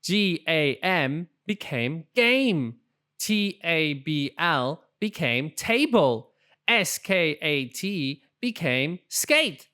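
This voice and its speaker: A storybook reader narrating for young children in an expressive, deliberate way. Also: mid-range voice. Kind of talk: animated children's read-aloud narration.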